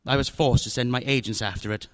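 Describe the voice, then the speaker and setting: A man's voice: medium pitch, steady tone, fast talker, close to an everyday voice. A man playing an oily, courtly fat villain. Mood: scheming. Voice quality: florid, unctuous, courtly manner. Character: fat, genteel, scheming older male villain. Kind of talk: radio drama acting.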